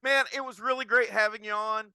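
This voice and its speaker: A man's voice: high voice, steady tone, fairly quick, pushed and bright, clean tone, dry recording. A man hosting a podcast, talking casually and informally. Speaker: podcast host. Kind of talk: casual podcast chat and commentary.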